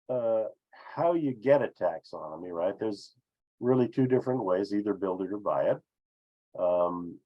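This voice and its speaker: A man presenting an explanatory business webinar with slides, speaking haltingly with frequent filled pauses. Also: on the low side. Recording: dull, lo-fi webinar audio.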